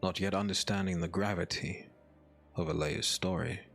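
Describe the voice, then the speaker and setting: A man narrates a dark fantasy audiobook in a deep voice with gravelly fry. His delivery is measured, scripted storytelling, with faint music underneath. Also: fairly even intonation.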